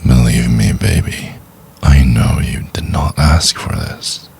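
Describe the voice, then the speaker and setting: A man playing a possessive, obsessive stalker boyfriend in an intimate ASMR roleplay, speaking in a husky, hushed half-whisper with soft menace. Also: a bass voice, exaggerated voice. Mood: tender, possessive. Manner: slow, hushed, crooning insistence.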